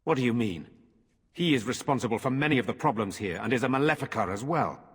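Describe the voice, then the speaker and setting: A man playing a solemn, dutiful nobleman in a fantasy video game, speaking in a formal, measured way. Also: mid-range voice, lively intonation, husky. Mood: stern, deliberating. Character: solemn, dutiful nobleman brother ruling a troubled town. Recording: hall reverb, music behind.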